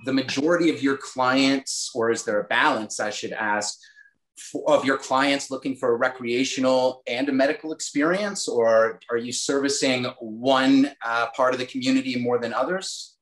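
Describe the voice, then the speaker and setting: A man in his forties hosts an interview over a video call. His voice has a mid-range pitch and an echoey room sound. He agrees readily and circles around his questions before landing them. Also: normal speed, fairly bright tone. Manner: affirming, asks rambling questions. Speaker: host.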